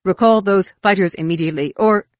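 A woman's voice: mid-range voice, unhurried, dry recording, voice pushed up, close to an everyday voice. A woman voicing a pompous, defensive male alien zealot in an audiobook, haughty and protesting. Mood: indignant.

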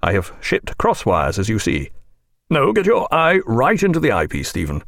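A man voicing a bluff naval captain who talks in a brisk, fussing, instructive way. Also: medium pitch, huge pitch swings, gravelly, fairly bright tone, dry recording, clean studio sound, exaggerated voice. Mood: fussy. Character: bluff, fussy naval captain fond of gadgets.

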